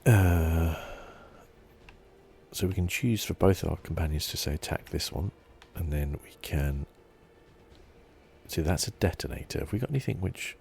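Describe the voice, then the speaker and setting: A man with an extremely low, creaky voice gives soft ASMR gaming commentary. He muses to himself in an unhurried, understated way, often dropping to a whisper. There is faint noise behind him. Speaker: gaming channel host. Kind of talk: ASMR video game playthrough commentary.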